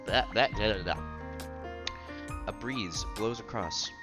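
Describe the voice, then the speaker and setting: A man with a fairly low voice reads a visual novel out loud. He mixes first-person story narration with casual streamer commentary. Loud music plays behind him. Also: slow.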